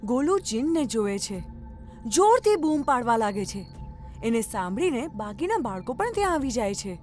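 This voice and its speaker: Lady narrating a kids story, high voice, really animated, faint music behind. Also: moderate pace. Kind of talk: scripted children's story narration.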